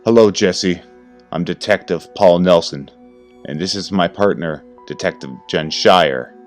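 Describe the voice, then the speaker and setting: Urgent, gruff veteran male detective, performed by a male narrator, commanding, horror audio story, faint music behind. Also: deep voice, unhurried. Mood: probing. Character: gruff aged male police detective, commanding, chain-smoking.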